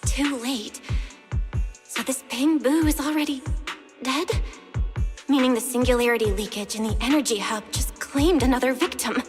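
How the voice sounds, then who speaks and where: A woman's voice: sits high, normal speed, pauses often, soft background music, close to an everyday voice. A woman voice acting an earnest, caring young helper in a video game, with a concerned and questioning manner. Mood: worried, dismayed.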